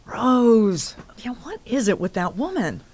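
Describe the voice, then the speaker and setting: A woman's voice: on the high side, big pitch swings, slow, a little mellow, a little hiss or hum. A woman playing a detective's wry, practical sidekick in an audio drama, speaking in a dry, matter-of-fact way. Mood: puzzled.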